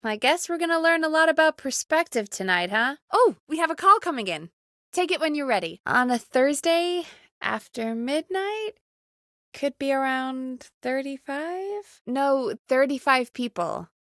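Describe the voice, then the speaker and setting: High-voiced, lively radio producer woman. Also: unhurried, dry recording, exaggerated voice. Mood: wry, dry. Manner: bossy, teasing, dry sarcasm. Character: brisk, wry female late-night radio producer. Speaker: radio show producer giving cues. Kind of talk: video game voice acting, conversational.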